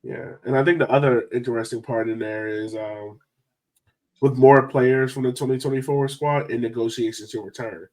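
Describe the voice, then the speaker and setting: A man's voice: mid-range voice, steady tone, moderate pace, darkish tone, rough recording. A man hosting a sports podcast in a casual, chatty style. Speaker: podcast host.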